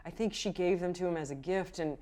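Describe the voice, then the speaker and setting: A woman's voice: fairly low pitch, dark tone, close to an everyday voice. A woman playing a nervous, secretive beekeeper who stammers and halts as she answers in a tabletop game. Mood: hesitant. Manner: flustered, halting, stammering. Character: nervous, secretive beekeeper dodging questions about magic bees.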